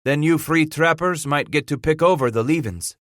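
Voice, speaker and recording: A man's voice: fairly high pitch, normal speed, dry recording, studio quality, voice pushed up, natural voice. A man reading aloud, voicing a surly, growling male trapper with a Spanish-French accent. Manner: growling, resentful.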